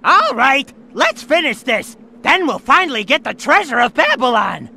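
A man's voice: very high voice, wildly swooping pitch, moderate pace, gravelly, pushed and bright, full character voice. A man voicing a cocky young male bird gang leader in a video game, smug and flippant. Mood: confident. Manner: smug, flippant, self-assured. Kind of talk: video game cutscene acting.

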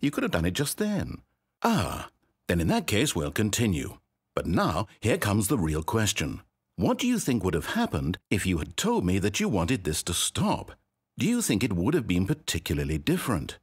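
An actor playing a smug, mocking game narrator who teases the player in dry, sarcastic tones. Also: male voice, on the low side, huge pitch swings, normal speed, slightly rough, full character voice. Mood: sardonic. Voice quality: dry, teasing delivery with sly sarcasm.

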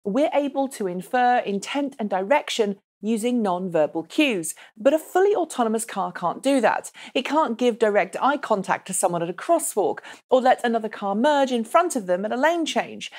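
Female online news presenter, thirties, slightly high voice, scripted explainer read, articulate and measured. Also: lively intonation, darkish tone.

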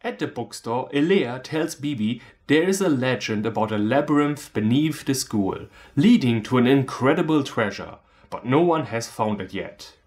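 A man narrates a comedic film review. His medium-pitched voice moves up and down a lot, with a sarcastic, irreverent edge. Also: animated, moderate pace, hardly any pauses, darkish tone. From Germany. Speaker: movie reviewer, video host. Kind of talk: scripted comedic movie recap narration.

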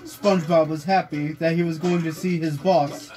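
A man's voice: fairly high pitch, fairly even intonation, normal speed. A young man reading fan fiction aloud to friends in an amused manner, recorded in a bedroom. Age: young adult. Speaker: reader, friend of the video maker. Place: small bedroom, indoors. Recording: room recording with loud background music. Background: music playing in the room.

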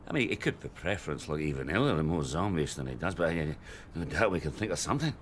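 An actor playing a cold, scheming political intellectual in a radio drama, musing and fussy. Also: male voice, a bass voice, very animated, fast, a little hiss or hum. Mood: calculating.

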